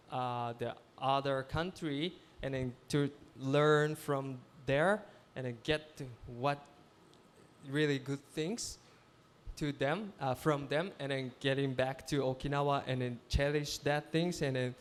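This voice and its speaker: A man's voice: medium pitch, smooth. A man closing a symposium presentation, searching for words and restarting phrases.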